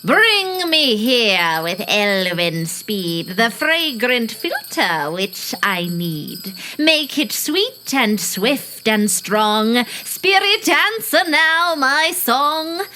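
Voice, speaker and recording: A magical spirit-caller in a theatrical audio play. The delivery is extremely slow and incantatory, in a bright voice with sweeping pitch. Chimes and some noise sit behind it. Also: female voice, very animated, faint music behind, full character voice, low-quality recording. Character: airy sprite and its summoner in melodrama play. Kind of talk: audio drama, play-within-a-play.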